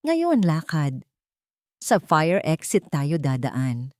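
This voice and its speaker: A woman voicing a calm, murderous villainess who mocks and threatens her captives in an audio drama story. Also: deep voice, drawn-out words, dry recording, studio quality, full character voice. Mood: cold, commanding. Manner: calm, menacing, mocking.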